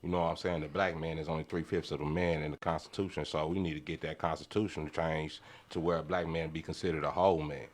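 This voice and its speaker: A man's voice: extremely low pitch, flat delivery, fast talker, few pauses. A man in an impassioned, casual panel discussion, emphatic and exhorting, repeating phrases to drive his point home. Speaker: podcast panelist.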